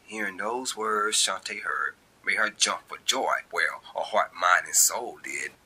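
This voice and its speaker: A man reading a short story as its narrator, in an expressive, colloquial storytelling style. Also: low voice, measured pace, a little hiss or hum. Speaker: author and narrator.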